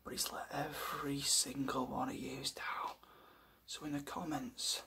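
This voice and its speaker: A disappointed show host put on by a young man speaks low and hushed. He is consoling as he addresses his audience in an ASMR comedy roleplay, often slipping into a whisper. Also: little pitch movement, unhurried, vocal fry.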